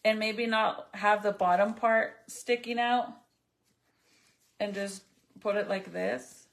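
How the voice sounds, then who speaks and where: A gravelly woman in her thirties, a native Spanish speaker, talks casually and bluntly while she works on a small craft. Her speech is chatty and profane, and she addresses her viewers directly. Her voice sits at a medium pitch with a steady rasp of vocal fry. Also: slow pace. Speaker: vlogger.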